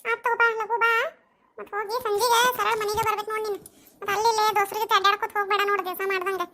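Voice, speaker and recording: A woman's voice: extremely high pitch, level tone, racing, bright, forceful tone. A woman voicing a bossy, chatty village mother in an amateur cartoon, nagging and homely, with a North Karnataka accent. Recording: faintly noisy home recording.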